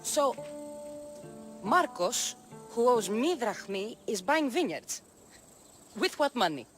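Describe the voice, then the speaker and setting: A tough, lively Greek-accented mercenary woman. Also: on the high side, takes it slowly, heavily acted. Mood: sarcastic. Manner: dry, defiant, sarcastic. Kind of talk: video game cinematic acting. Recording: music bed under dialogue.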